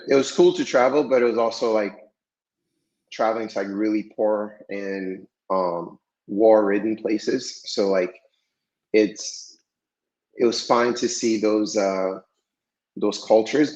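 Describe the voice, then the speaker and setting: Man, podcast guest, relaxed and hesitant, lowish voice, dull lo-fi sound. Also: a little slow. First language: French. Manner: relaxed, hesitant, many fillers. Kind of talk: casual interview talk.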